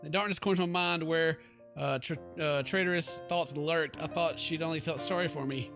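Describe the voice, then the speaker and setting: A man's voice: a little high, fairly even intonation, moderate pace. A man hosting a gaming video, reading story text aloud and commenting in a jokey, theatrical way. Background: game music.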